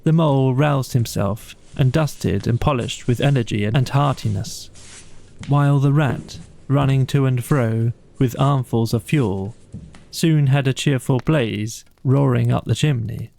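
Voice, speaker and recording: A man reads a bedtime story at an unhurried pace. His voice is mellow and soft-edged with a gravelly creak, captured very dry. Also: mid-range voice. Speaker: audiobook narrator. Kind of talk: scripted bedtime story narration.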